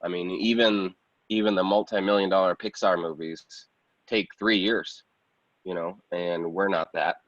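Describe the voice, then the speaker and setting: A man's voice: low voice, steady tone, normal speed, fairly bright tone. A man giving a casual interview as a guest, talking informally about his own work. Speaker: guest, actor and film producer. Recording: roomy echo, slightly muffled sound.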